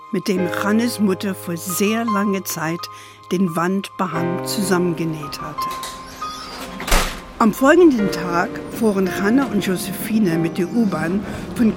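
A spirited old grandmother tells a family tale in a children's radio play. She speaks slowly and with measure, in a mid-pitched voice, with music behind her. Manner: unhurried, measured storytelling. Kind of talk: children's radio drama storytelling.

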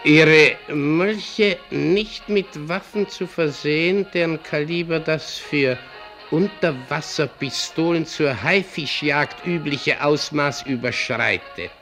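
A man playing an opinionated older doorman in a German radio drama, muttering and reading haltingly with asides. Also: fairly high pitch, a bit bright, soft background music. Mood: reading aloud, satisfied. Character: brooding, opinionated older doorman and newspaper clipper.

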